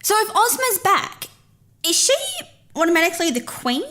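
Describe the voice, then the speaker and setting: High-voiced, animated, sarcastic aide. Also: female voice, normal speed, heavily acted. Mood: sceptical. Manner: sarcastic, blunt. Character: brash, sceptical female political aide, sarcastic and quick-tempered. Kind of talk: fantasy audio drama acting.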